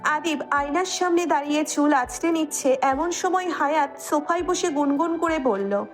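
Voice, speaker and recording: A Bangladeshi woman reads a romantic story as its narrator. Her voice sits high, and soft music plays faintly behind her scripted delivery. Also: moderate pace.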